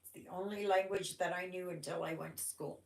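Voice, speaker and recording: A woman's voice: lowish voice, fairly even intonation, brisk, creaky, reverberant. A Canadian woman whose first language was German, hosting a casual stitching livestream and chatting in a rambling way full of "oh my gosh".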